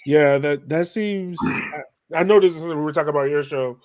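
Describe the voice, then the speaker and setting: A man's voice: on the high side, a little fast. A man with an American accent hosting a podcast, asking questions in a casual, conversational style. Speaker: podcast host, interviewer. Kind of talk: casual interview chat.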